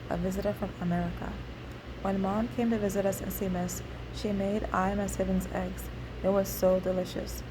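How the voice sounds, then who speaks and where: Flat-toned woman reading memoir, noisy recording. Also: medium pitch. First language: Igbo. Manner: halting reading with slips and rereads. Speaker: memoir author reading own story. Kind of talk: scripted first-person memoir narration.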